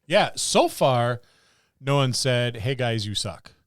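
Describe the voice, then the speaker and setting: A man's voice: medium pitch, expressive, takes it slowly, no room sound, studio quality. A man co-hosting a casual podcast about tabletop gaming, talking in a rambling, enthusiastic way. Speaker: podcast co-host.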